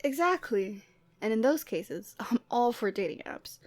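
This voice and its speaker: A woman playing a Cupid who is the listener's lovestruck best friend, in a conversational, expressive roleplay audio drama. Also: a little high, a little slow, no room sound. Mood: teasing, chatty. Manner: conversational, teasing, emotionally expressive.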